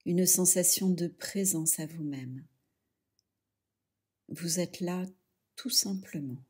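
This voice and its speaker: A woman leading a guided yoga relaxation, speaking softly and soothingly in short phrases with pauses. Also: on the low side, husky. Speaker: yoga nidra instructor.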